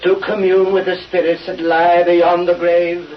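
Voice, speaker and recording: An actor playing a fake spirit medium in an old radio drama, intoning in a theatrical, portentous manner. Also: male voice, high voice, flat delivery, a little slow. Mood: solemn. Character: sham medium conducting a seance, solemn and theatrical. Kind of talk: 1930s-40s radio acting. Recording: hissy, muffled old radio recording.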